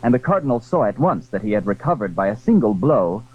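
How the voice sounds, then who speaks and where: A man's voice: fairly low pitch, big pitch swings, fast talker, breathy edge. A man narrating a literary drama in a formal, scripted storytelling style. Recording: very muffled, lo-fi old recording.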